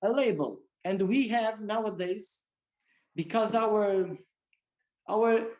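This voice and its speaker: Male guest lecturer, very measured pace, halting second-language English. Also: high voice, a little mellow, dull audio. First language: Portuguese. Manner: halting, non-native English with repeated phrases. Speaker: guest speaker. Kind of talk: religious community lecture.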